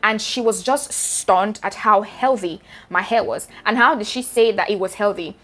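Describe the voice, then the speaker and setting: A woman's voice: medium pitch, normal speed, fairly bright tone. A young Nigerian woman with a Nigerian accent, giving chatty, direct hair care advice to her viewers in a casual vlog. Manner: chatty, direct, addresses viewers as sis and guys.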